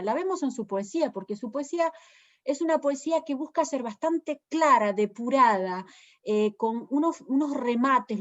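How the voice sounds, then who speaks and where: A woman with an Argentine accent, a poet giving a thoughtful literary talk, pausing often with fillers as she searches for words. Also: on the high side, highs cut off. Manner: thoughtful, hesitant, many fillers. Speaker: poet and presenter.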